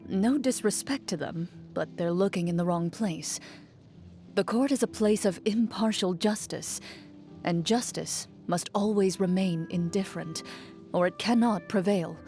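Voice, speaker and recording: A woman playing a composed, principled champion duelist in a video game, speaking in a measured, formal, dryly understated manner. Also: lowish voice, a little mellow, slight background noise. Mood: stern, resolute. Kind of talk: video game character voice lines. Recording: music behind the voice. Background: music.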